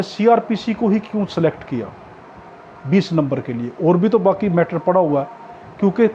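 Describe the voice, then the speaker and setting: Pakistani male tutor, high-pitched mellow voice, informal instructive lecture delivery. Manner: instructive, repeats key points for emphasis. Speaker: law exam tutor. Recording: dull lo-fi audio with background noise.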